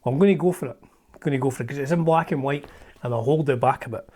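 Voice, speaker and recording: A man's voice: mid-range voice, fast talker, a little mellow. A middle-aged man with a Scottish accent, hosting and chatting casually as he flips through an old magazine, rambling with frequent ums and restarts. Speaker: host.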